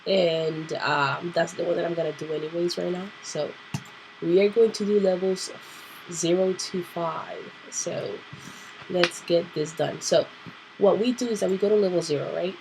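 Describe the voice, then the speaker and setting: A woman hosting a casual computer tutorial, nasal and clearing her throat because she is sick, thinking aloud with fillers. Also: lowish voice, fairly even intonation, normal speed, darkish tone, slight background noise, low-quality recording. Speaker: tutorial host. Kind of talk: casual screen-recorded tutorial.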